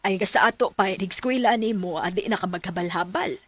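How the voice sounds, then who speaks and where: Gentle mom, husky and breathy. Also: female voice, medium pitch, brisk. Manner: gentle, motherly. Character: caring working-class mother. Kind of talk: radio drama acting.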